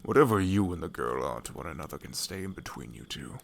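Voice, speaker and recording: Guy doing wry older traveler, gravelly low voice that swings around a lot, fantasy audio roleplay. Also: sing-song, fast, full character voice. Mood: amused, teasing. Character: older male wanderer, wry, worldly, teasing peacemaker.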